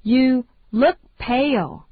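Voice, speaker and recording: Slow, deliberate woman drilling phrases. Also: high voice, slightly rough, no echo. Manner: slow, deliberate, carefully enunciated. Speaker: English phrase reader. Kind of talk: slow repeat-after-me drill. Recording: dry, tinny phone-like sound.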